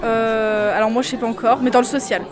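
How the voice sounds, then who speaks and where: A woman's voice: fairly high pitch, fairly even intonation, fairly quick. A nineteen-year-old woman from eastern France, a student giving casual interview answers. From Vosges, France. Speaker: social work student being interviewed. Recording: outdoors amid busy crowd chatter. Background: crowd chatter outdoors.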